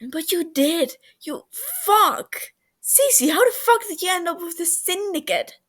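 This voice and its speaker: A woman voicing an excitable, foul-mouthed young man in a story, loud and exclaiming, indignant but affectionate. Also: very high-pitched, takes it slowly, pitched above the performer's own voice, heavily acted. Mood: outraged, teary.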